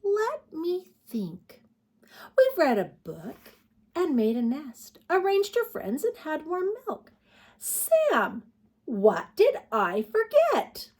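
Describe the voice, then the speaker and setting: Woman voicing a gentle, lilting storybook mother bear. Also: high-pitched, huge pitch swings, voice pushed up, exaggerated voice. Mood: pondering. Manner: coaxing, slow, drawn-out delivery. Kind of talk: children's storybook read-aloud.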